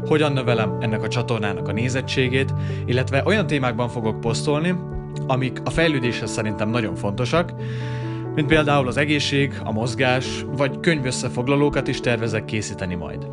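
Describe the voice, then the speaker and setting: A man narrating a scripted voice-over for his video, steady and earnest, asking viewers to subscribe. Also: mid-range voice, normal speed. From Hungary. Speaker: vlogger. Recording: loud guitar music behind.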